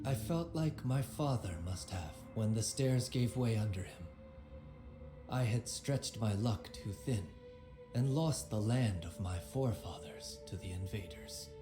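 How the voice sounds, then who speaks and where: An actor playing an aging, proud conqueror who tells his life story in a measured, theatrical, wry way, as dramatic game narration. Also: lowish voice, lively intonation. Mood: grave. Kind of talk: dramatic scripted narration of a memoir. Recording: roomy voice over background music. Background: music.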